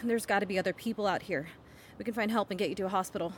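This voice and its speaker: A woman's voice: mid-range voice, very fast, a little hiss or hum, close to an everyday voice. A woman playing a plucky, protective hiker in an audio drama, coaxing and slangy. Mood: reassuring.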